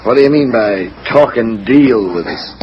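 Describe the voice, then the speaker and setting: A man's voice: medium pitch, expressive, moderate pace, lightly acted. A man acting an indignant frontier railroad man in a 1940s radio western, on a muffled, noisy old recording. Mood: weary, thirsty.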